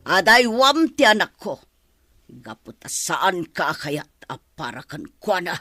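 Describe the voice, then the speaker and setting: A woman's voice: lowish voice, very animated, slow pace, bright, forceful tone, dry recording, full character voice. A woman playing a stern, bossy madam and mother in a radio drama, commanding and scolding, with music behind her. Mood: stern insistence. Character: stern bossy mother and household madam opposing daughter's romance. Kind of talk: Ilocano radio drama acting.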